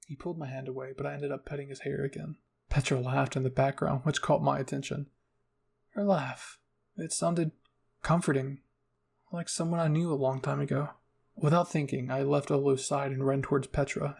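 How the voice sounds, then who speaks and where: A man's voice: medium pitch, level tone, normal speed, gravelly, a little mellow, slightly rough. A man narrating a scripted first-person fan fiction story as an ASMR roleplay reading.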